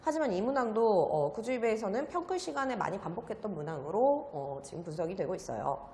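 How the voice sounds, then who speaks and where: A woman's voice: medium pitch, expressive, fast, darkish tone. A female math academy teacher explaining an exam's results to students, using frequent "uh" fillers. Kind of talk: exam analysis lecture. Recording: echoey room with long reverb.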